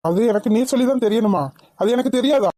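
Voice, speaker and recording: A woman's voice: on the high side, fast, mellow, no echo, clean studio sound, voice pushed down, lightly acted. A woman voicing a cheeky, lovestruck young man who answers in curt, grumbling retorts. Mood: sulky. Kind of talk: comedy skit.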